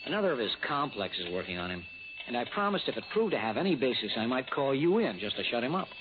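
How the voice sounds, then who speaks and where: Insurance agent, played by a man: exasperated American male, quick and very animated, emphatic, muffled old radio sound. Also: mid-range voice, noisy background, lightly acted. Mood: sheepish. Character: exasperated, dismissive male insurance agent, fretting over premiums. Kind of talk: 1960s radio drama acting.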